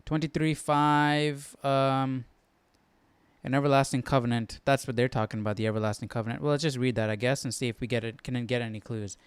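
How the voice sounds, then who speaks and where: A man with a clear, smooth voice talks in a casual, unscripted way, as a study host would. He muses aloud and puts questions to himself between bits of reading. The sound is close and dry. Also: medium pitch, steady tone, a little fast, no room sound. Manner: musing aloud, asking questions. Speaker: host of a Bible study stream.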